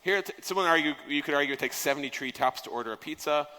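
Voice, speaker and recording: Guy doing a casual conference talk, bright forceful voice, big echoey hall, punchy and conversational. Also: on the high side, fast. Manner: emphatic, conversational, rhetorical questions, casual swearing. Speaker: conference speaker on software product design. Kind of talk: conference talk, informal presentation. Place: large conference hall, on stage. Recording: echoey hall, long reverb.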